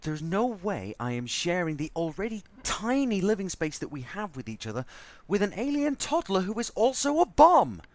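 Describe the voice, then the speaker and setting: A man's voice: high-pitched, sing-song, moderate pace, few pauses, no echo. An actor playing an exasperated, sarcastic, foul-mouthed crewman in a science fiction audio drama. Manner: sardonic, ranting.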